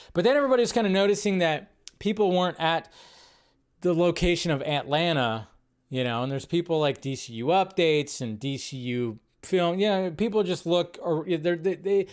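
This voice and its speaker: A man with a somewhat high voice hosts a solo live stream. He talks loosely and thinks out loud, often restarting his sentences. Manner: rambling, thinking aloud with restarts. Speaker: host.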